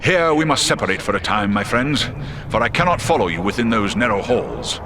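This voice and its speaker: An actor voicing a giant, noble eagle in a video game, solemn and loyal, with formal, archaic phrasing. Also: male voice, lowish voice, very animated, a little fast, over music.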